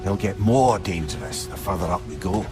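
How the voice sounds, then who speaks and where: Lively Scottish old advisor. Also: male voice, deep voice, normal speed, over music. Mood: knowing. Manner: wry, storytelling. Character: wry, learned old male advisor. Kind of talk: video game cutscene acting.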